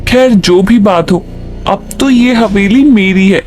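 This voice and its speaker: A woman playing a bold, skeptical young woman in a cartoon horror story, expressive and sprinkled with English phrases. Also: mid-range voice, slow pace, faint music behind, close to an everyday voice. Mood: wondering, resolute.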